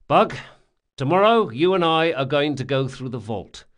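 A man as a brisk, commanding thief boss. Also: a little high, lively intonation, fairly bright tone, voice pushed up. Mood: decisive. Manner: brisk, emphatic, giving orders. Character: shrewd, commanding male leader of thieves.

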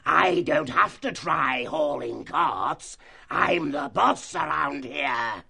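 A man's voice: mid-range voice, animated, creaky, fairly bright tone, breathy edge, voice pushed up, exaggerated voice. A man voicing a cruel, hooded male fox who leads a band of slavers, with a harsh, grating, bullying way of talking. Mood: menacing. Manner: menacing, bullying, drawn-out threats. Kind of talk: audiobook character voice.

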